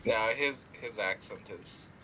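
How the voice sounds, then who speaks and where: A man chatting casually over voice chat, animated and explaining things in detail. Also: fairly low pitch, slow, noisy background.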